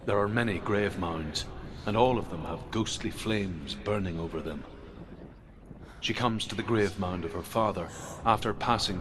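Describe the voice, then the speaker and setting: An actor playing a grave storyteller of Norse legends in a video game, solemn, measured and dramatic. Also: male voice, low-pitched, background noise.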